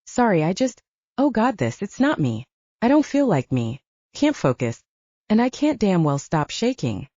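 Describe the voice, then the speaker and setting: Narrator doing stubborn young army medic woman, frustrated n sweary, audiobook, lively pitch, dry sound. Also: normal speed, dry recording, highs cut off. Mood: frustrated, tearful.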